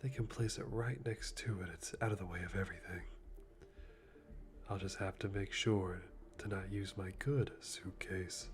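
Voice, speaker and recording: A man playing a calm, methodical hitman, musing to himself softly and deliberately with dry amusement in an ASMR roleplay. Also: sits low, natural voice. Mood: calm, calculating. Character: calm, methodical male hitman planning a kill. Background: music.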